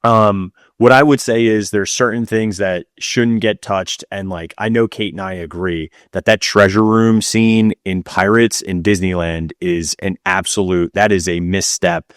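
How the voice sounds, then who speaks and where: Male podcast co-host, deep voice, casual explainer with opinions, very dry recording. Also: steady tone, a little slow, hardly any pauses, clean tone, dry recording.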